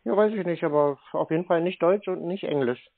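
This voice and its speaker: A man's voice: on the high side, fairly quick, creaky, no room sound. A man with a German accent arguing casually on the telephone in a dry, blunt, dismissive way. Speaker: scam baiter answering calls. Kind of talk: casual phone argument. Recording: muffled narrowband phone line.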